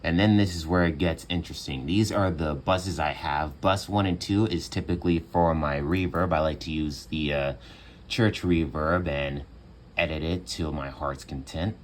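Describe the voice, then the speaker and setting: A young man with a very low, bassy voice talks in a loose, relaxed way, leaning on fillers as he walks through his home studio setup. He sounds close to a phone mic in a small room. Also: moderate pace, slight background noise. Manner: relaxed, chatty, many fillers. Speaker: home music producer. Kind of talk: casual tutorial walkthrough.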